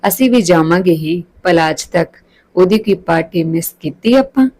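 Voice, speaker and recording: A woman's voice: fairly low pitch, lively intonation, normal speed, darkish tone. Woman playing a breezy, trendy college girl. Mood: excited. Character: outgoing, trendy young female college student.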